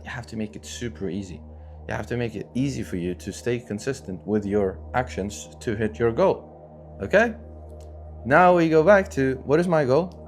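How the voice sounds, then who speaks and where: Earnest young man over soft guitar. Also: lowish voice. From Syria, raised in Sweden. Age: 20s. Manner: earnest and loose, many fillers and restarts. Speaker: online entrepreneur. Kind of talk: talking-head motivational vlog.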